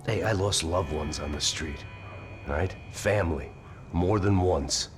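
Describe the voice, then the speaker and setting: An actor playing a world-weary, cynical middle-aged American ex-cop in a video game, with a gravelly, dry, sardonic delivery. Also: male voice, low voice, a little slow, music in the background. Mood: bitter, dry. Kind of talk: brooding noir narration and dialogue. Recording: game soundtrack with loud background noise.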